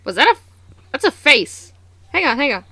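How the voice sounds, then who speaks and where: A woman hosting a reaction video, commenting casually in an animated, exclamatory, slangy way. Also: high-pitched, moderate pace, no echo.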